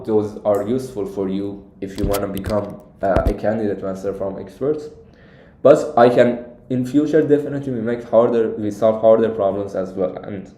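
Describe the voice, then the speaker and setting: Mellow young Iranian-accented man, echoey room. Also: fairly low pitch. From Iran. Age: young adult. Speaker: competitive programming coach and live streamer. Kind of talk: casual live stream Q&A with viewers. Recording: echoey, roomy sound.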